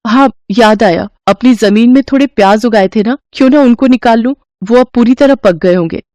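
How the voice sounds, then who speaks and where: A woman's voice: a little high, normal speed, dark tone, no echo, pitched above the performer's own voice, natural voice. A woman voicing a poor, devoted, polite young wife and mother-to-be in a moral story, with an earnest, pleading manner. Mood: worried. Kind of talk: animated moral-story dialogue.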